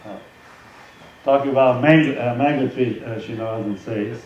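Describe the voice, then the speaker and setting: A man speaking as a swami, giving an informal spiritual talk and reading aloud from a book, with halting conversational asides. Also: mid-range voice. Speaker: swami, yoga teacher. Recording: roomy echo, distant mic, dull sound, faint noise.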